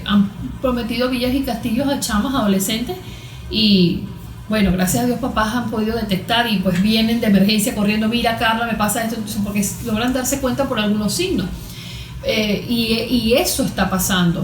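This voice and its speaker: Woman, Venezuelan accent, higher voice, therapist explaining conversationally, halting with rhetorical questions, roomy echo. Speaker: cognitive therapist, interview guest. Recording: echoey room with background noise.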